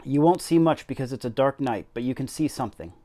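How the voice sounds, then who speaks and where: A man's voice: medium pitch, quick pace, mellow, close to an everyday voice. A man reading aloud and voicing a stern middle-aged woman housekeeper in an attempted Yorkshire accent. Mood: curt.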